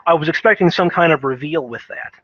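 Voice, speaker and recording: A man giving casual, opinionated commentary on video games, speaking emphatically in a halting way, restarting and repeating phrases as he thinks. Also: fairly high pitch, lo-fi sound.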